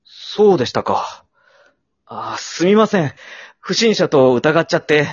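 A man acts out a sceptical, flustered father in a comedy radio drama. The voice is husky and jumps around expressively, always ready to protest. The sound is a little dull. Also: fairly high pitch, big pitch swings, heavily acted. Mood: subdued, polite. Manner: exasperated, quick to protest.

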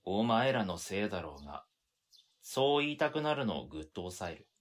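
Actor as a low-voiced exasperated young man. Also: very animated, normal speed. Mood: weary, exasperated. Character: exasperated young man narrating his own story, long-suffering. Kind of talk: first-person scripted narration in audio drama.

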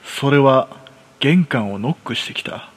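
A man playing a jittery, self-deprecating recluse in his thirties, narrating a first-person horror story for a radio drama in rough, colloquial speech. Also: medium pitch, animated, a little slow, background noise, natural voice. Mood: uneasy.

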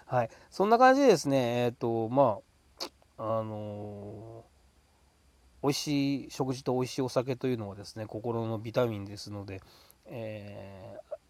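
A man hosting a casual solo talk show, speaking politely with drawn-out hesitations between phrases in a dry, close room. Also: mid-range voice, dry recording. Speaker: podcast host.

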